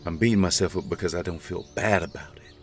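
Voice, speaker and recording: A man's voice: deep voice, quick pace, faint music behind, close to an everyday voice. A man playing a hardened, haunted father surviving a zombie apocalypse in a comic dub drama. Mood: troubled.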